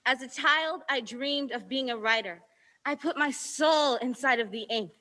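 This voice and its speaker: Teenage girl, spoken word poet, rhythmic rhyming delivery, high voice, bright tone. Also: unhurried. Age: teens. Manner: rhythmic rhyming recitation, defiant at times. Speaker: student poet. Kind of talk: spoken word poetry performance.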